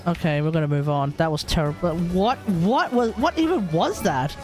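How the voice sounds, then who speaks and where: A man's voice: a little high, expressive, moderate pace. A man giving casual live commentary as a game streamer, with a grumbling, sardonic manner. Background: game music.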